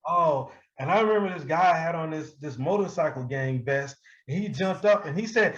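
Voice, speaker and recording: An American man telling stories casually in an interview, profane and quick to laugh. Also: lo-fi sound. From Milwaukee, United States. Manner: animated, profane, breaks often into high-pitched laughter. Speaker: hip-hop artist being interviewed. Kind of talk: casual anecdotal storytelling.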